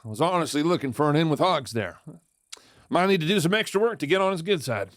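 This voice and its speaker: A man's voice: fairly high pitch, lively intonation, fast talker, no echo, studio quality, natural voice. A man playing a cocky, sly outlaw in a Western audio drama, with a teasing, theatrical manner. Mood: sly, curious. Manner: theatrical, teasing, flirtatious swagger. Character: cocky, sly male Western outlaw, smooth-talking schemer.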